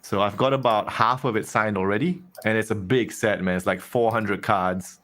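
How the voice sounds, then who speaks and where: A man's voice: on the low side, steady tone, moderate pace, a bit bright. A man from Malaysia with a Malaysian accent, a guest chatting casually and laughing easily on a video call. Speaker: guest collector.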